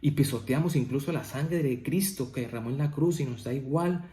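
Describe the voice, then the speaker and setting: A man's voice: medium pitch, brisk, mellow, echoey room. A man preaching a family devotional sermon, often checking in with "¿cierto?" and rising to emphatic peaks. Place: church.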